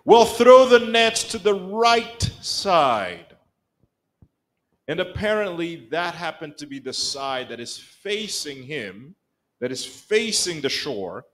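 A middle-aged man with a Rwandan accent preaching a sermon in a church sanctuary, telling the story with lively acted-out voices. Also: a little high, slow. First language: Kinyarwanda. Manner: animated storytelling, acts out quoted lines. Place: church sanctuary, mid-sized room. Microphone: pulpit microphone, filmed by a far camera.

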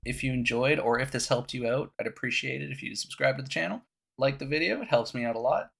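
A young man with a cough-roughened, scratchy voice, casually walking viewers through a game menu step by step into his headset at home. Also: medium pitch, quick pace, a bit bright. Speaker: gaming YouTuber. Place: small room at home.

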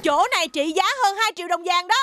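High-voiced, imperious lady general. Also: fast talker, background noise. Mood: insistent. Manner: imperious, declarative. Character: proud, stubborn noble lady general. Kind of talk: animated motion comic dub.

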